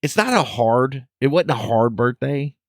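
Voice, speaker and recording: A man's voice: medium pitch, animated, a little slow, dry recording, clean studio sound. A man, co-hosting a podcast in joking, teasing banter. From Texas. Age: 50s. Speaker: podcast co-host. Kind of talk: casual chat.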